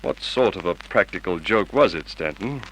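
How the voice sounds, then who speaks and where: An actor playing a gruff, brusque police sergeant questioning people in an old radio drama. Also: male voice, sits low, expressive, normal speed, fairly bright tone, slight background noise, low-quality recording. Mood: brisk, probing. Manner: curt, questioning, commanding. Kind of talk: Golden Age radio drama acting.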